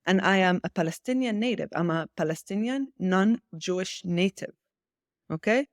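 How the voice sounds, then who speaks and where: A woman's voice: medium pitch, animated, moderate pace, no room sound, clean studio sound. A woman from Israel speaking as an interview guest in a political discussion, challenging and insistent, repeating key phrases for emphasis.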